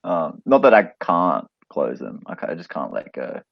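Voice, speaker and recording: A young man chatting casually in a halting way, with a gravelly, husky voice. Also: rumbling low, huge pitch swings, no room sound. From Australia. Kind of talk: casual chat. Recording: slightly dull, muffled audio.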